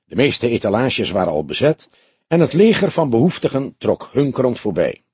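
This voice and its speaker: A man's voice: medium pitch, very animated, moderate pace, darkish tone, slightly rough, no echo. A man reading scripted crime fiction as an audiobook narrator.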